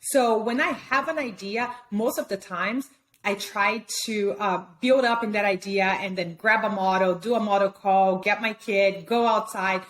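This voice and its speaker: A woman's voice: medium pitch, a little slow, a bit bright. A woman from Brazil whose first language is Portuguese, speaking with a Brazilian Portuguese accent as a guest in a casual interview, chatty, with many fillers and restarts. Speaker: guest photographer.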